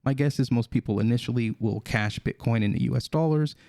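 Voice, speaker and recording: A man with a gravelly, mellow voice reads a news story aloud and breaks in with his own comments. He stumbles and restarts now and then, leaning on fillers. The sound is dry and close. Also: mid-range voice, fairly quick, no echo. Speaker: crypto video host. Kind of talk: reading an article aloud with commentary.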